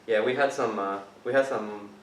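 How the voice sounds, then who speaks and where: A man's voice: fairly low pitch, normal speed, a little hiss or hum. A man from northeast Brazil with a Brazilian Portuguese accent, talking casually as a jiu-jitsu instructor, rambling with many fillers and restarts. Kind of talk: casual chat. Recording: roomy, distant mic, faint background.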